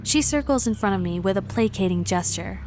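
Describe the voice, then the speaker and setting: A mid-pitched female voice performed by a woman as a wary but determined traveler. She narrates a visual novel in an earnest, introspective, dramatic way, with prominent music underneath. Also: animated, a little fast, lightly acted.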